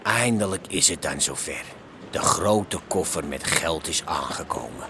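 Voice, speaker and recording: A man narrating a radio drama in a solemn, measured way. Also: very deep voice, animated, background noise.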